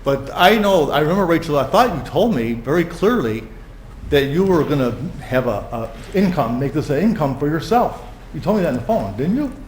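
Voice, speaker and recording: An emphatic man makes his case at a village board meeting, stressing words and circling back to his points. His pitch swings widely and sits a little high. The sound is an echoey meeting room with faint hiss. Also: sing-song, brisk. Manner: emphatic, repeats words, talks with feeling. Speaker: resident speaking at public comment. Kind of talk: emotional public comment at a village board meeting.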